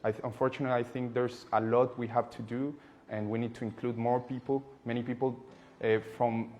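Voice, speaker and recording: A man's voice: medium pitch, little pitch movement, brisk, a little mellow, a little hiss or hum. A man in his twenties from Colombia, a political scientist and activist, answering interview questions thoughtfully, often restarting his sentences. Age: 20s.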